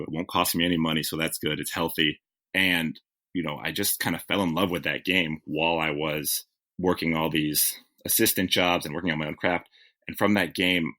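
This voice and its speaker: Deep-voiced man telling stories. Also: sharp tone. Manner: reflective, self-correcting, with frequent "like" and "you know". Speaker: guest, TV writer. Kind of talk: conversational interview storytelling.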